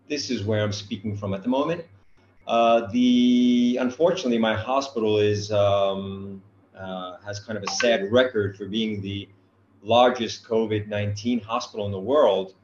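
Halting man lecturing. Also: fairly low pitch, darkish tone, a little hiss or hum. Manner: hesitant, many filler sounds, dry humor. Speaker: guest lecturer, physician. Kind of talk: academic lecture. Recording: roomy, dull-sounding call audio.

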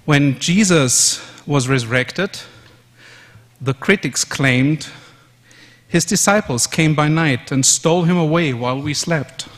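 A man in his fifties from Austria whose first language is German, giving a measured conference presentation in English with fillers and self-corrections. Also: mid-range voice. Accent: German-speaking Austrian. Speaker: conference speaker. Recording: long hall reverb.

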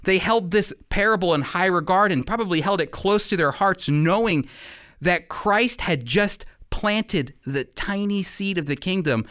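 A man giving an expository Bible teaching as a radio program teacher, speaking emphatically and addressing listeners directly with pauses for emphasis. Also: a little high, a bit bright.

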